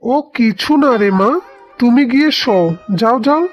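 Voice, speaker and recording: A man voicing a gentle, despairing husband and father in a cartoon, pleading and dejected. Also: extremely high pitch, moderate pace, soft background music. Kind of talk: cartoon voice acting.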